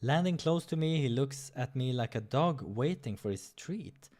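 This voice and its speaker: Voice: medium pitch, animated, moderate pace, darkish tone. A let's play host reading a visual novel aloud, mixing narration with casual, irreverent, sweary asides. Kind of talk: playthrough narration with casual reactions.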